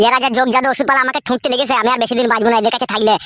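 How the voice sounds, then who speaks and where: A woman's voice: a little high, steady tone, rapid-fire, fairly bright tone, dry recording, clean studio sound, natural voice. A woman voicing a hot-headed, complaining married village son in a comic cartoon. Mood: aggrieved. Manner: ranting, complaining to his father.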